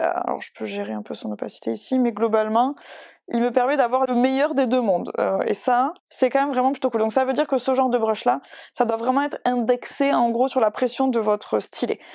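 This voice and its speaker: A woman's voice: on the high side, vocal fry, darkish tone, no room sound, clean studio sound. A young woman illustrator giving a casual drawing tutorial, chatty and self-deprecating, with many hesitations. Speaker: digital illustrator.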